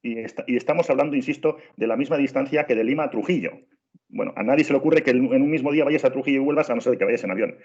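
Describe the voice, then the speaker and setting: A man's voice: mid-range voice, racing, a little mellow, slightly rough. A middle-aged man from Spain with a Spanish accent, giving a train travel training on a video call, insistent and full of restarts. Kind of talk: webinar presentation. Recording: dull lo-fi video call audio.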